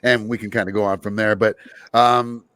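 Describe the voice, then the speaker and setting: A man's voice: lowish voice, flat delivery, normal speed, fairly bright tone, clear, resonant tone, no room sound. A man hosting a casual podcast, chatting and reading out listener questions. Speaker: podcast host.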